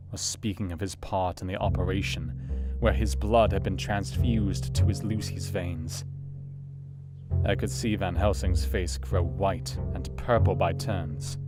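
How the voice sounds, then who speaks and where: A man's voice: low voice, steady tone, unhurried, music in the background. An actor playing a sober, compassionate Victorian doctor, narrating his diary reflectively in an audio drama. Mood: troubled, grieving.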